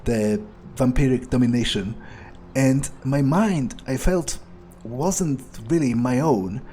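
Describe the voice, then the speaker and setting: A man's voice: mid-range voice, slow, mellow, a little hiss or hum, lightly acted. A man voicing a cynical, suspicious vampire in an in-character game roleplay, musing hesitantly and swearing freely. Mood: brooding, suspicious. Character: cynical, suspicious, foul-mouthed young male vampire, thinking aloud.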